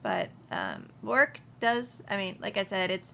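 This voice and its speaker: A woman's voice: mid-range voice, wildly swooping pitch, measured pace, creaky, sharp tone, a little hiss or hum. A young woman from Houston, speaking casually as an interview guest, with many ums and restarts. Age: young adult. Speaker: insurance agency principal, guest.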